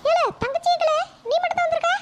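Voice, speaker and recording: A woman playing an angry village mother, scolding her son at speed. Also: steady tone. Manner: rapid shrill scolding. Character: angry mother, daughter-in-law, loud and quick to blame. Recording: loud noisy recording with clicks.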